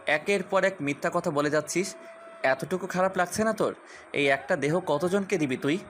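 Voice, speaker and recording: Man acting a high-voiced, menacing possessive young fiancé. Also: normal speed, fairly bright tone, slight background noise, lightly acted. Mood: angry, accusing. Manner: taunting, commanding, accusatory. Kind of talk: Bengali romantic audio story acting.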